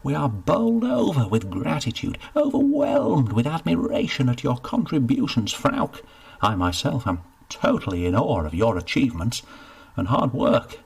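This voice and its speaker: A man's voice: lowish voice, expressive, normal speed, gravelly, hardly any pauses, slightly rough, exaggerated voice. A man voicing a pompous, genial steward who speaks formally and gets flustered. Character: pompous genial middle-aged male steward.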